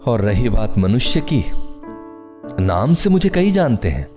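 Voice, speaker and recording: An actor playing a courteous, wry recluse in a Hindi radio play, speaking in a measured, formal, gently ironic way. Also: male voice, mid-range voice, big pitch swings, music in the background. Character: courteous, wry, reclusive man living in a forest hut.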